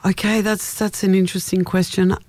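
A middle-aged woman with a naturally deep voice, a singer talking in a radio studio interview, thoughtful and halting, with many restarts. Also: flat delivery, a little mellow, no echo. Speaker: guest singer.

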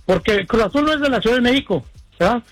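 Casting: Mexican male radio caller, very high voice, halting casual speech, dry sound. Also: lively intonation, no room sound, soft background music. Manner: halting, repeats words. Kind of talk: casual chat.

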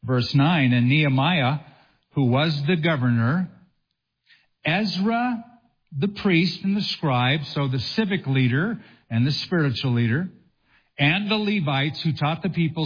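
A male pastor delivers a sermon in a fairly high, husky voice. He phrases things deliberately and emphatically, often posing rhetorical questions and taking his time. The recording is a little dull and muffled. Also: expressive. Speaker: pastor preaching. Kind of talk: expository sermon to a congregation.

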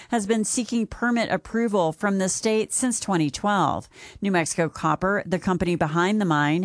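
A woman's voice: lowish voice, no room sound, studio quality. A woman reading a scripted radio news report as the reporter.

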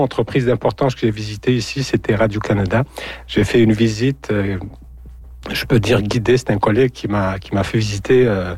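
A man's voice: on the low side, darkish tone, dry recording. A man from Algeria, a radio journalist, speaking as a guest in a radio interview and hesitating with many fillers and repeated words.

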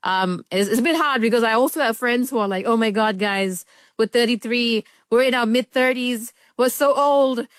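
A woman's voice: on the high side, moderate pace, dry recording. A woman of thirty-three in a casual chat, speaking in a thoughtful, earnest way with light laughter. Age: 30s. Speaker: head of news.

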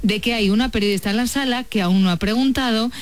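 A man hosting a sports talk show, quick and mocking, laughing often. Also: sits high, clean tone. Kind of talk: comedic sports talk with clips.

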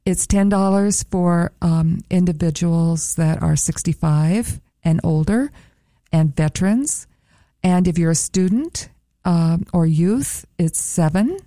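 A woman with a low, mellow voice that has a gravelly edge talks up a museum's events and prices in a friendly, promotional way. The sound is dry and close, with no room echo. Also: slow. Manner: upbeat, promotional, careful over a foreign word. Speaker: museum staff guest. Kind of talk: radio interview chat.

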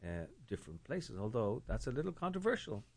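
A man's voice: mid-range voice, very animated, brisk, vocal fry. A middle-aged man, a novelist being interviewed, speaking thoughtfully and often repeating words as he searches for them. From Ireland. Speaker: novelist guest. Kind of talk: reflective interview talk.

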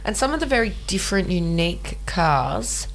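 A woman's voice: fairly low pitch, very animated, normal speed, creaky, poor audio. A woman co-hosting a casual radio chat, bubbly and enthusiastic. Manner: bubbly, enthusiastic, talks over others. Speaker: radio co-host.

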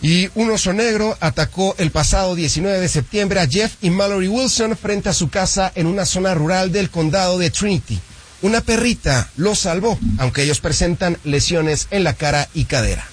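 A man with a notably high voice co-hosts a morning radio show. He is easygoing in the chat and turns formal, addressing the audience, when reading news in the studio. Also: normal speed. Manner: easygoing, laughs along, formal in headlines. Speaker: radio co-host, sports presenter.

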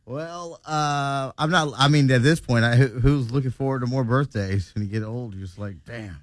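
A man's voice: medium pitch, normal speed, no echo, clean studio sound. A man, hosting a morning radio show in casual, teasing banter and often stumbling over his words. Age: 40s.

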